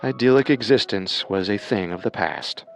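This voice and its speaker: A man narrating a scripted true crime podcast in a measured, dramatic way. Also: on the low side, creaky, faint music behind.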